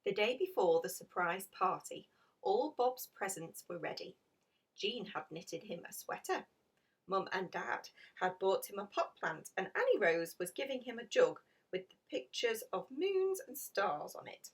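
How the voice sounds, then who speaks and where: A woman reading a children's picture story aloud in an expressive, dramatic storytelling manner. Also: mid-range voice, unhurried, husky, recorded from a distance, rough recording. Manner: animated, dramatic emphasis with hushed passages. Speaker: storybook reader.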